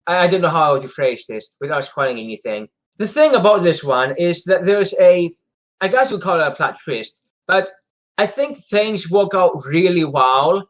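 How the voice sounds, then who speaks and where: Higher-pitched man rambling through fan chat. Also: lively intonation, normal speed. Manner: hesitant, rambling, repeats himself. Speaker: co-host of a Doctor Who discussion show. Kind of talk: casual fan discussion.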